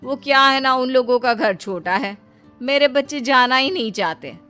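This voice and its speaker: Woman putting on a haughty, high-voiced boastful aunt. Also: normal speed, sharp tone, clear, resonant tone, faint music behind. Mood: condescending. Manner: condescending, scornful. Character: haughty, boastful married aunt, sneering at poorer relatives.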